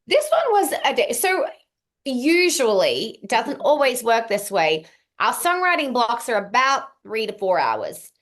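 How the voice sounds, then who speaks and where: A woman's voice: a little high, big pitch swings, a little slow, fairly bright tone. A young woman with an accent that mixes Australian and American, chatting at length as an interview guest, with frequent ums. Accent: mixed Australian and American. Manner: chatty, frequent ums, long run-on answers. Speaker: singer-songwriter guest. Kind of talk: casual interview chat.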